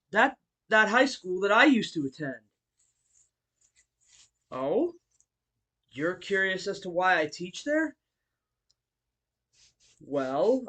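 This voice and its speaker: A man voicing a friendly, bookish martial-arts hero and teacher in a fan audio roleplay, speaking politely to the listener with hesitant pauses. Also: high-pitched, a bit bright, heavily acted. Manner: polite, chuckling, hesitant pauses between phrases.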